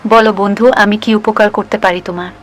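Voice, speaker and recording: A woman's voice: medium pitch, fairly even intonation, a little fast, natural voice. A woman voicing a grateful, reassuring talking fish in a fairy-tale cartoon. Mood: helpful, reassuring. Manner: friendly, reassuring.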